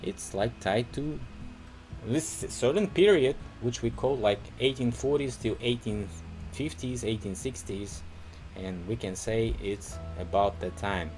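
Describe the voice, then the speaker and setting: A man with a Russian accent talking through a casual walking vlog outdoors on a city street, rambling and halting with many restarts. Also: medium pitch, a little mellow, soft background music, low-quality recording. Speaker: vlogger.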